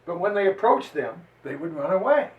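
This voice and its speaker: High-pitched declamatory man in an echoey room. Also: very animated, narrow, tinny audio. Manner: emphatic, declamatory, with drawn-out deliberate phrases. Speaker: reader and lecturer on history. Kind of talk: dramatized reading of a historical chronicle to listeners. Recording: roomy echo, voice distant from microphone.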